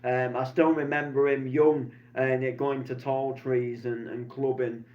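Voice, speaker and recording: A man, chatting in a loose, meandering way and filling his sentences with ums and likes. His voice sits at an ordinary middle pitch. The recording sounds a little dull and lo-fi. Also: little pitch movement, unhurried. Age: 20s. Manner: chatty, meandering, many fillers and restarts. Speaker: DJ vlogger. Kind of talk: rambling casual vlog.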